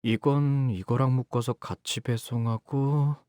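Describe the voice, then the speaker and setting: A man playing an earnest, dutiful young fixer in a video game, polite and soft-spoken but easily flustered. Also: medium pitch, steady tone, unhurried, clean tone, no echo, studio quality, natural voice. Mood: weary, busy. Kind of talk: expressive game character voice lines.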